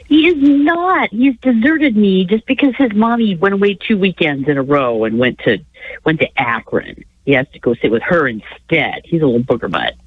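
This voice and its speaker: A woman co-hosting a radio chat with a stuffy nose from a cold, rambling with halting restarts and frequent chuckles. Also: lowish voice, expressive, slightly rough. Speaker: radio show co-host. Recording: tinny phone line.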